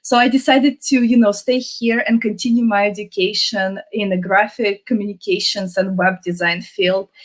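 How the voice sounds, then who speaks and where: A woman's voice: mid-range voice, steady tone, moderate pace, few pauses. A woman in her thirties, raised in Russia and speaking English as a second language, chatting upbeat as an interview guest with many fillers. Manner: chatty, upbeat, with frequent "you know" fillers. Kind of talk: casual interview.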